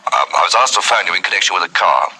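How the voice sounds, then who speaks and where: Hesitant garage manager, deep but very sharp tone, informal, noisy old radio recording. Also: male voice, expressive, fast, slightly rough, exaggerated voice. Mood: hesitant, helpful. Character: plain-spoken, hesitant male motor workshop manager. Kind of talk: vintage radio drama acting.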